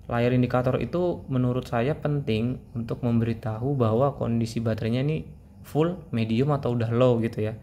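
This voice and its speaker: A man's voice: medium pitch, dark tone, slight background noise. A man giving a casual product review, explaining things informally as if to friends.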